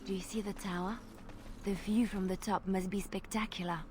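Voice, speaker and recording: A woman's voice: mid-range voice, steady tone, moderate pace, darkish tone, a little hiss or hum, natural voice. An actress playing a curious young woman companion in a video game, eager and wondering.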